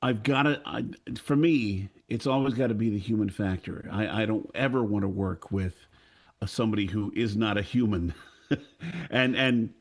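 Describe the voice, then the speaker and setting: A man of sixty-two speaking earnestly and off the cuff on a panel, haltingly, often repeating phrases. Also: lowish voice. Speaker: audio drama creator on a panel. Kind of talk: earnest unscripted panel talk.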